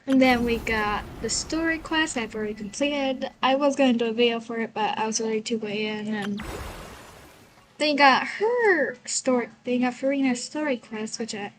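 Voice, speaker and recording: A woman's voice: on the high side, a little hiss or hum, poor audio. A woman giving casual, rambling gameplay commentary as the host of a gaming video. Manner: rambling, informal.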